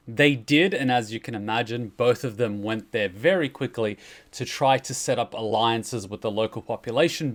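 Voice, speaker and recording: A man's voice: lowish voice, a bit bright. A man streaming casual, conversational storytelling commentary, explaining game lore in a jokey way.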